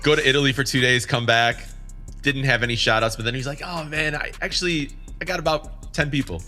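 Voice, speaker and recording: A man with a bright, forward voice hosts a relaxed DJ podcast conversation. He talks in a slangy, inquisitive way, with music faintly behind him. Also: mid-range voice, brisk. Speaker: podcast host and DJ. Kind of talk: casual chat among DJ friends.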